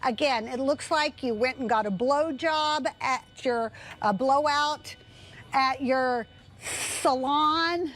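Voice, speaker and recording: Woman, high voice, slow unhurried talk, casual reaction clip. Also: a bit bright.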